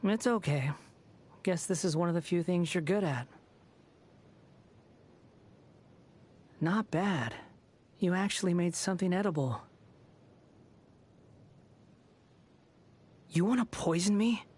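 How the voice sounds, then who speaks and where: A man's voice: high voice, normal speed, darkish tone, breathy edge. An actor playing an aloof, mocking young male puppet in an anime video game, sardonic, haughty and dismissive. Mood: grudging.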